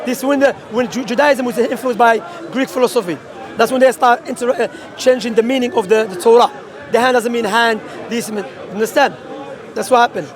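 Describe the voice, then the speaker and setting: A man's voice: very high-pitched, fairly quick. Insistent man preaching over a crowd. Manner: insistent, interrupting, quotes scripture. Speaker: street preacher. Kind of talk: street debate. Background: crowd chatter.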